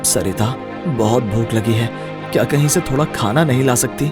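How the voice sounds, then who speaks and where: A man voicing a gentle, weary young village husband in an animated Hindi moral story, mild and subdued. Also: mid-range voice, moderate pace, music in the background, lightly acted. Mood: weak, hungry.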